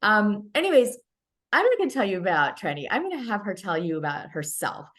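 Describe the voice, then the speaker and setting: A woman's voice: mid-range voice, sing-song, fairly quick, a bit bright. A woman hosting a library talk over a video call, speaking hesitantly with frequent "um"s and restarts. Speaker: library program host.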